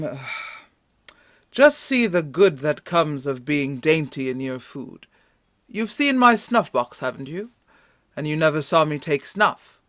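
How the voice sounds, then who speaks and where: An actor playing a kindly, genteel ship's doctor in a radio play, genial and explanatory. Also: male voice, on the high side, a bit bright, no room sound.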